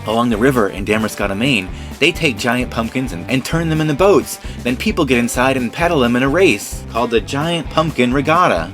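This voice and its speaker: Man, children's show narrator, mid pitch, lively up-and-down delivery, guitar music behind. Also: a little fast, hardly any pauses. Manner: friendly explaining, asks a child questions. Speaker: puppet show performer and narrator.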